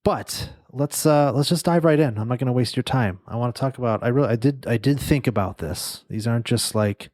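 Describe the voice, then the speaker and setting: A man's voice: mid-range voice, brisk, few pauses, a little mellow, no room sound. A man with a nasal voice hosting a solo podcast, talking casually and rambling with many fillers and restarts into a studio microphone. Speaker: podcast host and agency owner.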